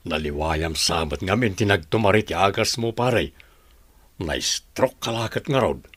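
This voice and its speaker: A man's voice: sits low, lively intonation, measured pace, fairly bright tone, husky, no echo, exaggerated voice. An actor playing a concerned, firm man in a Filipino radio drama. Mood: concerned, soothing.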